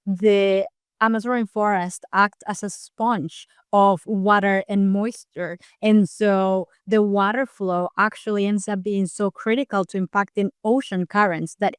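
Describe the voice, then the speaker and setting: Earnest, crisp-voiced woman from Peru. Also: medium pitch, no room sound, clean studio sound. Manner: earnest, explanatory, occasional restarts. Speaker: scientist guest. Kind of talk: interview answers.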